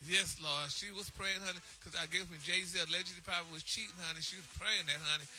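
A man's voice: sits high, steady tone, fast, pushed and bright, soft background music. A man voicing a flamboyant, sassy male celebrity gossip reporter who gushes dramatically on a comic radio show. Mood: pious. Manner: gushing, dramatic, peppers talk with "honey" and "y'all".

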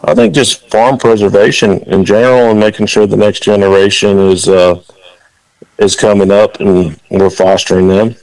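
A man's voice: lowish voice, fairly even intonation, moderate pace, gravelly, a little mellow, no echo, poor audio. A man from the Dominican Republic, a farmer guest on a radio interview, talking earnestly with frequent fillers.

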